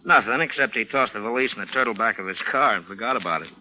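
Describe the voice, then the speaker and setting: An actor playing a wry, suspicious police lieutenant with a needling, casual manner in a 1940s radio drama. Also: male voice, fairly low pitch, lively intonation, quick pace, sharp tone, low-quality recording.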